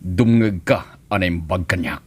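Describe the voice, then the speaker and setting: A man's voice: sits low, a little slow, a little hiss or hum. A man acting an authoritative gang boss, probing and commanding, in radio-style drama.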